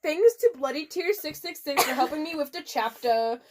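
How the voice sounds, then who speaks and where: A woman's voice: high-pitched, animated, moderate pace, darkish tone. A woman reading fan fiction aloud in a theatrical, giggly, comedic way. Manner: giggly, theatrical, often breaks into laughter.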